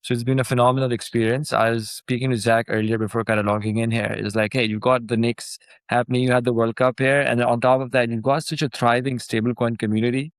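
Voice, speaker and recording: A man's voice: mid-range voice, quick pace, dry recording. Casual man chatting from a dry mic. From Pakistan. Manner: relaxed and conversational, many fillers, animated when excited. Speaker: startup founder guest. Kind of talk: casual interview chat.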